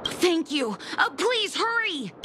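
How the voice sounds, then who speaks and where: A woman's voice: extremely high pitch, very animated, takes it slowly, noisy background, heavily acted. A woman playing an earnest young boy in a video game, with a childish, informal way of talking.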